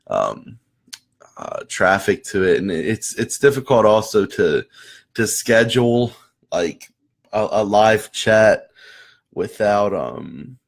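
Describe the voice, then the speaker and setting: A young man with a low, hoarse voice hosts a casual live chat for viewers. He rambles, restarts his sentences and fills gaps with ums and you knows. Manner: rambling, many restarts and fillers. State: hoarse after losing his voice. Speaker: host of a live marketing chat.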